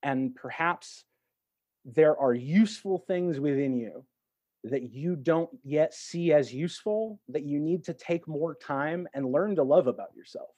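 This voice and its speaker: A man's voice: a little high, lively intonation, a little slow, warm tone, no room sound. A man talking in a reflective, conversational way as a podcast guest, encouraging and reassuring as he gives advice. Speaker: podcast guest, actor and tarot reader.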